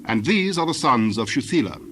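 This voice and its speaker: A man serves as narrator for a dramatized Bible. His mid-pitched voice recites in a formal, measured, scripted way. Clear ambient noise sits behind the narration. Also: sing-song, a bit bright.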